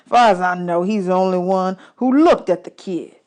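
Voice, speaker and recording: A woman's voice: lowish voice, moderate pace, a little mellow, lightly acted. A woman reading a storybook, voicing a mean older male bandleader in a curt, sardonic, dismissive way.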